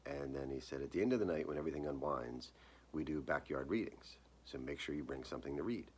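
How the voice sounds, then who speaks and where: A man reading his own short story aloud as its author, with measured, deliberate phrasing. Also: very deep voice, darkish tone, slight background noise. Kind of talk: dramatic live reading of literary horror fiction.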